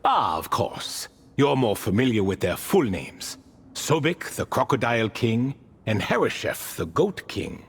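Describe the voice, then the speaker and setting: A man voicing a proud, ancient ibis king in a video game, telling stories in a formal, nostalgic, theatrical way. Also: mid-range voice. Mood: reminiscing, haughty. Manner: theatrical, rambling, formal storytelling. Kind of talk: video game character acting.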